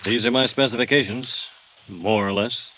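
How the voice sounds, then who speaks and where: An actor playing a fearful, bitter building expert in a 1950s American radio drama, speaking with reluctance. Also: male voice, fairly low pitch, normal speed, sharp tone, poor audio. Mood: uneasy. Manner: tense, reluctant. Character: uneasy, fearful building expert, adult man, resigned and bitter.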